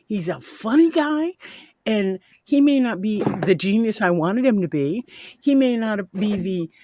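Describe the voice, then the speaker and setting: Middle-aged woman with a low soft voice. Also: expressive, a little slow, no echo, clean studio sound. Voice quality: low soft voice she calls ASMR-like. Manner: heartfelt, rambling, proud. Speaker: parent sharing a story. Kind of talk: casual group chat. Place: home video chat. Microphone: video call.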